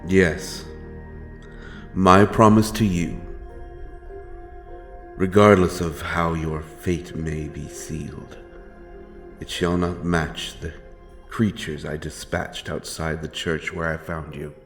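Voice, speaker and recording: Man playing stern dark fantasy monster hunter, low-pitched, unhurried, solemn, archaic, menacing, audio drama. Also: steady tone, heavily acted. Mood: grim warning. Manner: archaic, solemn, threatening speech. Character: grim, stern male monster hunter, menacing but honourable. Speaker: audio roleplay performer. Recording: music behind the voice.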